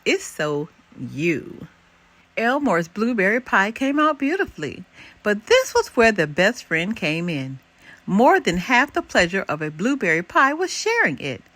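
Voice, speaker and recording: An older woman reading a children's picture book aloud as an expressive storyteller. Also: medium pitch, normal speed, no room sound. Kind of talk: expressive scripted narration for children.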